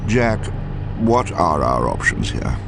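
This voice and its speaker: A deep-voiced senior commander, speaks slowly and deliberately. He is terse, weary and in charge, in a game cutscene with loud music behind. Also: very animated, creaky, exaggerated voice. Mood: grave, deliberate. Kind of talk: video game cutscene acting.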